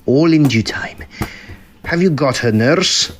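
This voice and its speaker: A man's voice: on the high side, lively intonation, slow pace, a little hiss or hum. A man playing a falsely soothing, insistent asylum doctor in old-style radio melodrama, with a condescending theatrical manner. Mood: patronising, firm.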